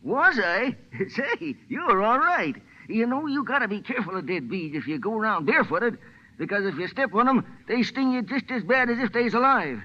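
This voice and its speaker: A high-pitched, rambling American old rummy. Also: male voice, big pitch swings, normal speed. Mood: chatty, rambling. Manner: folksy, rambling, ungrammatical. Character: rambling, friendly old drunken dockside sidekick. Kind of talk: 1940s radio acting. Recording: muffled old radio recording.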